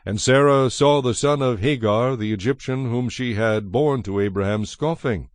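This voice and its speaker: A man giving a measured, solemn narration of scripture as the narrator of a dramatized production. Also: medium pitch, dry recording, clean studio sound. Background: soft music.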